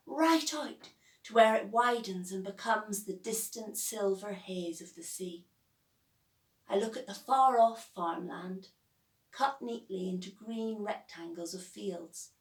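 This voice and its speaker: A woman reading a children's story aloud as its author, with expressive, measured and deliberate phrasing. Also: mid-range voice. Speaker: author reading own novel. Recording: echoey room, distant from mic.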